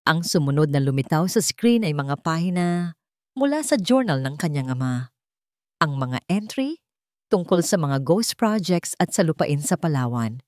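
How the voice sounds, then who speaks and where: A woman narrates a dramatic story in a scripted storytelling style. Her voice sits on the low side, and the recording is dry with little room sound. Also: animated, a little slow, dry recording.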